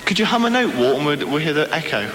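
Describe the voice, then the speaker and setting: A man's voice: fairly high pitch, sing-song, fast talker, fairly bright tone. A man hosting a radio interview, teasing his guest and leading with tag questions. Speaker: radio presenter.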